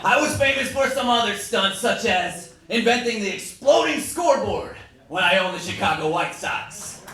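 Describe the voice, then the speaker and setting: A man's voice: high voice, lively intonation, normal speed, pushed and bright. A man playing a jovial, boastful baseball team owner in a folksy first-person dramatic reading. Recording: echoey room from far off, faint noise.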